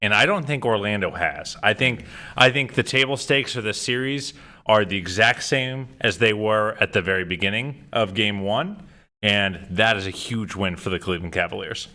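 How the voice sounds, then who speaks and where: Man, podcast co-host, slightly strained voice, lowish pitch, bright forceful tone, hesitant casual talk. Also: moderate pace. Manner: casual, with frequent uh fillers and restarts. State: voice a little strained from cheering. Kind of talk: casual sports podcast chat.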